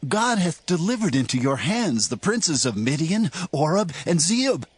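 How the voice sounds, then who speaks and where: An actor playing a bold Israelite war leader in a dramatized Bible reading, speaking in a declamatory, emphatic way. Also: male voice, a little high, big pitch swings, unhurried, breathy edge, dry recording, exaggerated voice. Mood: placating. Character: Gideon, adult male Israelite war leader, commanding and bold.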